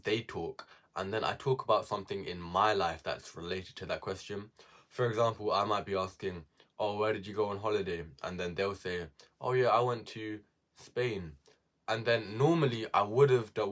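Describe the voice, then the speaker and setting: A man's voice: lowish voice, fairly bright tone. A young man giving casual self-improvement advice to viewers, repeating key words for emphasis.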